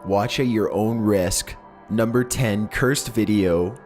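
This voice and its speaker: A man reading scripted creepy stories in a slow, measured, ominous way. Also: lowish voice, darkish tone, faint music behind. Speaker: narrator.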